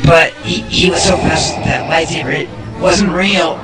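Man playing a high-voiced, terrified groveling underling. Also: steady tone, moderate pace, natural voice. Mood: panicked. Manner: stammering, pleading. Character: frightened, groveling underling reporting to his master. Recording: loud music under the voice.

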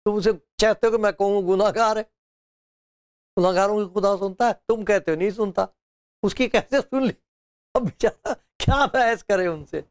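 A man's voice: high-pitched, normal speed, no echo. Older male pastor, storytelling sermon, lapel mic, dry studio sound. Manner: storytelling, acting out quotes with laughs and gestures. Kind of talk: sermon to camera. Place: studio with bookshelves, indoors.

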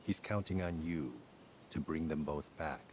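A man playing a grave, businesslike commanding officer in a video game, terse and measured. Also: extremely low pitch, a little mellow, slight background noise. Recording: game music under the dialogue.

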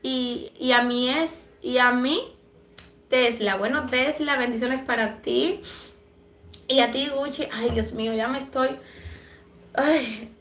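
Young woman on a phone livestream, high bright voice, sweet and chatty, bit stuffy, echoey little room. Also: steady tone, takes it slowly, long pauses. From Cuba and Dominican Republic. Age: young adult. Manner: affectionate, chatty, laughs often. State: stuffy with allergies. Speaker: livestream host. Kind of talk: live chat reading viewer comments. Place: small room indoors. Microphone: phone camera at arm's length.

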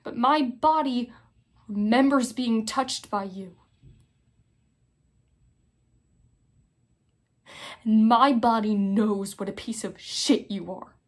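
A young woman playing an outspoken, indignant teenage girl in a conversational stage monologue, halting and wry. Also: a little high. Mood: bitter, defiant. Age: young adult. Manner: halting, conversational, with many likes and restarts. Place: small plain room indoors.

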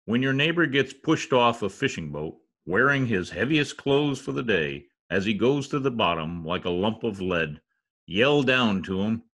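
Older guy doing a pompous exasperated radio comedy star, deep-ish voice, big swings in tone. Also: expressive, moderate pace, fairly bright tone. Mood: grandly sardonic. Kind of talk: old-time radio comedy acting. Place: indoor home office. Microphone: computer mic on video call.

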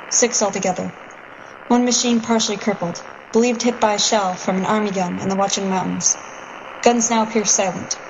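A woman's voice: medium pitch, lightly acted. A woman playing a tense, determined military pilot in a radio drama, reporting in clipped phrases. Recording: dull lo-fi radio sound, hiss.

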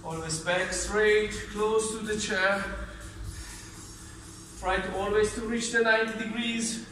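A man's voice: sits high, fairly even intonation, unhurried, bright, forceful tone, a little hiss or hum. A man in his thirties, a non-native English speaker, leading a workout as he demonstrates it, with short cued commands and physical effort in his voice. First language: not English. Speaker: fitness trainer. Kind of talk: workout instruction while demonstrating. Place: large indoor gym with mats. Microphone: camera several metres away. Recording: echoey gym, distant mic, music behind.

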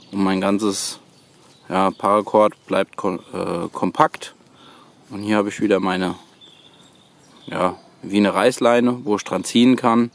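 A man giving a hands-on how-to demonstration outdoors, in halting, hesitant phrases. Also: lowish voice. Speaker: host of a bushcraft tutorial channel. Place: outdoors on a woodland floor, birdsong behind.

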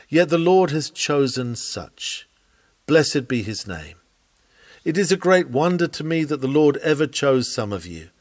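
A man reading a scripted sermon aloud in an earnest, measured, expressive preaching manner. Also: mid-range voice, no room sound. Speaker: reader of a historical sermon.

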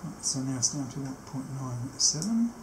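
The recording has a clearly roomy echo and faint noise, as from a camera mic in a small workshop. A man in his sixties speaks at a medium pitch, walking through a practical task step by step. His delivery is unscripted, with pauses as he works. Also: sing-song. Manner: step-by-step explaining, often pausing mid-task. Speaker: technician demonstrating a tape machine setup. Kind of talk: hands-on demonstration, unscripted walk-through. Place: small cluttered indoor workshop. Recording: echoey room, faint background noise.

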